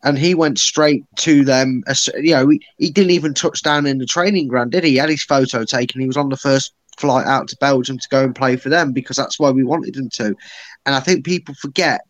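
A man talking through his point in a confident, chatty way on a fan podcast. His voice is mid-pitched for a man. The sound is dry and close, with little room. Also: fairly quick, no room sound. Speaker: football podcast panelist. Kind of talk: casual chat.

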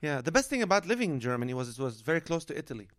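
A man in his forties, originally from Lebanon, tells stories in a loose, joking way. His voice sits a little higher than most men's. He is chatting as a guest on a comedy podcast. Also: very animated, racing, fairly bright tone. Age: 40s. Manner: chatty, jokey storyteller. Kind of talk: casual podcast chat. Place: motel room.

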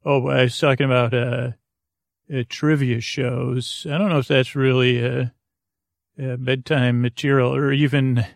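A man with a gravelly, creaky voice in a dry, close recording. He hosts a bedtime podcast, meandering from tangent to tangent with hesitant restarts. The delivery is lulling and soothing, with very little rise or fall in pitch. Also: little pitch movement, unhurried, no room sound. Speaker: podcast host. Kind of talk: rambling bedtime monologue to lull listeners to sleep.

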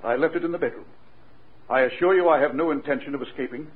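An actor playing a formal, courteous, evasive senator in 1940s radio drama style. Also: male voice, fairly high pitch, quick pace, husky. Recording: tinny, faintly noisy old radio recording.

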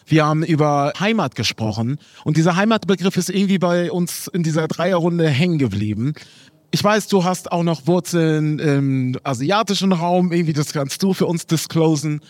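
A man's voice: fairly high pitch, expressive, moderate pace, no room sound. Polite male moderator, dry close sound. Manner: polite, thanks guests and asks questions. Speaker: podcast host and moderator. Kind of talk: moderating a live panel. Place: live event at an embassy.

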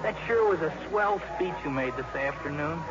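A man's voice: fairly high pitch, animated, lightly acted. A man playing a shy, awkward, hesitant lovelorn teenage boy in a 1950s radio comedy. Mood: smitten. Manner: hesitant, halting, earnest. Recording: dull 1950s radio recording with music.

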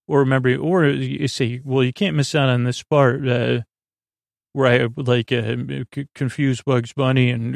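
Creaky-voiced rambling man hosting a sleep podcast. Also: no room sound, clean studio sound. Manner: meandering, halting, self-interrupting with repeated false starts; low-pressure, reassuring, droning on purpose. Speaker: host of a bedtime sleep podcast. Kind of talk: rambling bedtime podcast monologue.

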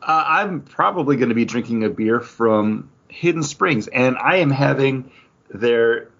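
A man's voice: medium pitch, lively intonation, measured pace, gravelly, fairly bright tone. A man chatting casually as a podcast co-host. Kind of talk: casual conversational podcast chat. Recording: dull, lo-fi podcast audio.

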